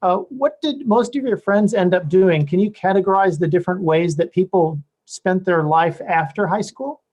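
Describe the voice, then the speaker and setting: A man's voice: sits high, normal speed, darkish tone, dull audio. A man interviewing a guest, asking questions in a conversational, rambling way and rephrasing them as he goes.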